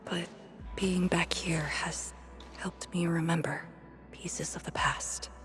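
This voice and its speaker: An actress playing a haunted, wistful young woman in a video game, speaking softly and hesitantly in a breathy near-whisper. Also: fairly low pitch, fairly even intonation. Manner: slow, hesitant, pensive. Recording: music behind the voice. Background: background music.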